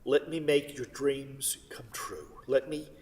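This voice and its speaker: A man's voice: medium pitch, takes it slowly, a little mellow, natural voice. A man playing a regretful, controlling wealthy father in a staged play reading. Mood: persuasive. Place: theatre hall. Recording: distant mic in echoey hall.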